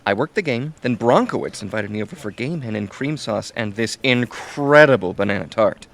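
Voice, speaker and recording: A man's voice: on the low side, animated, normal speed, vocal fry, fairly bright tone, a little hiss or hum. An actor playing a guarded but chatty male coworker in a comic audio drama, talking in a wry, conversational way. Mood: chatty, amused.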